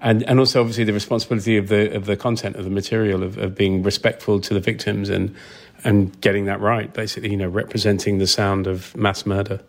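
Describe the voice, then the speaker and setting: A man's voice: lowish voice, little pitch movement, fast. A man being interviewed about his work, talking casually and reflectively, thinking aloud and rephrasing as he goes. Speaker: film sound designer, interview guest.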